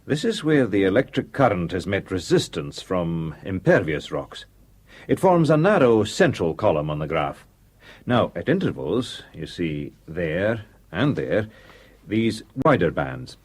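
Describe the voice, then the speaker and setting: An actor playing a methodical geologist explaining well graphs, in a radio play. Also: male voice, low-pitched, very animated, measured pace, slightly rough, exaggerated voice. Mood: explanatory. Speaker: technical expert. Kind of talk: radio drama acting.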